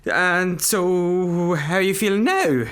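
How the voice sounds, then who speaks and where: A man playing a bumbling, nervous GP, awkwardly over-reassuring, in a dark comedy radio play. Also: high-pitched, slow pace, smooth. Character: flustered, bumbling, nervous Irish GP.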